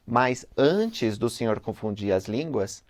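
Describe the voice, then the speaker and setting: A man leading a relaxed religious lesson, asking questions and thinking aloud as he goes. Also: mid-range voice, slow, no room sound. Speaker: gospel lesson teacher. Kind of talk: casual teaching discussion.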